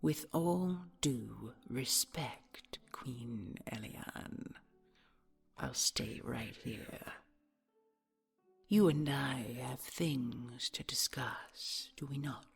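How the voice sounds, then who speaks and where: Woman doing a haughty dragon queen, super breathy n gravelly, slow, possessive and suspicious, audio roleplay. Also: a bass voice, faint music behind, exaggerated voice. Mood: haughty, sardonic. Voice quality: breathy, husky. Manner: slow, haughty, intimate murmuring.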